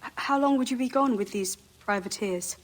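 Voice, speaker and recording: An actress playing a worried but resolute young wife in a video game cutscene, with a soft, pleading yet firm way of speaking. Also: female voice, fairly high pitch, moderate pace, slight background noise, natural voice. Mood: pleading, resigned.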